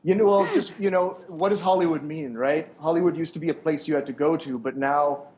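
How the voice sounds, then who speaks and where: A man's voice: a little high, brisk, darkish tone, rough recording. A young man, a sound engineer, answering interview questions over a call in a thoughtful, rambling way with many restarts.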